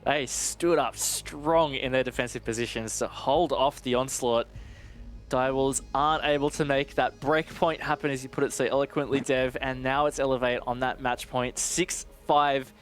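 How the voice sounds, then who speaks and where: Mid-pitched male esports analyst on air. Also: steady tone, normal speed, faint music behind. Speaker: esports match commentator. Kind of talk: analytical live commentary, conversational.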